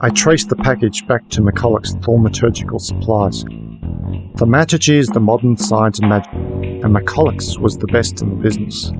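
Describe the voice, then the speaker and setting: Man playing a wry werewolf investigator, loud music behind. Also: lowish voice, expressive, normal speed, vocal fry, poor audio. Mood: dry, weary. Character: tough laconic male werewolf investigator narrating his case. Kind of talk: hard-boiled first-person radio play narration.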